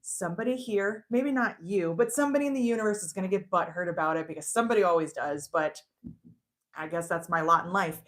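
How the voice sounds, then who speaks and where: An older woman hosting a casual live stream from her shop, chatty and quick to laugh at herself. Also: fairly low pitch. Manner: chatty, laughs often, self-teasing. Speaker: shop owner and show host. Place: indoor shop with renovation noise upstairs.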